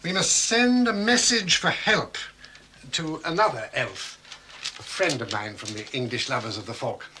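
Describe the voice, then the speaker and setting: An actor playing a scheming shopkeeper who talks down to children in a patronising, smooth way. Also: male voice, medium pitch, moderate pace, background noise, lightly acted. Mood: coaxing. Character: scheming shopkeeper man feigning kindness. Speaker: villain.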